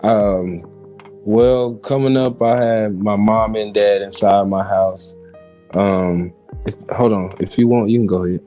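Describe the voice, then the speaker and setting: Low-voiced American man over music. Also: steady tone, measured pace, warm tone. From Kansas City, Missouri, United States. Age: 40s. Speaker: podcast guest giving testimony. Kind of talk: personal testimony in interview.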